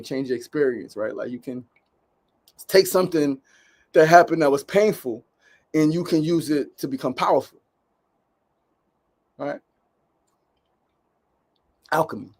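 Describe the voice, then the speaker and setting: Upbeat rambling New man. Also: on the high side. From United States. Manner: rambling, upbeat, says "right?" often. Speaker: podcast host. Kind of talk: casual livestream monologue.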